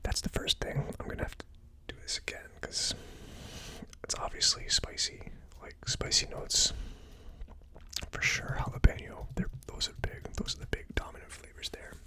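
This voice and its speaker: An ASMR host giving a hesitant, thinking-aloud tasting commentary in a breathy, unvoiced whisper. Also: moderate pace. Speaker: whiskey reviewer, ASMR host.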